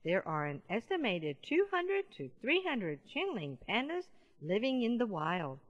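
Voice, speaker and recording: A woman's voice: medium pitch, wildly swooping pitch, measured pace, muffled recording. A woman voicing a friendly giant panda in a children's audiobook, playful and talking straight to young listeners. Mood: cheerful, explaining.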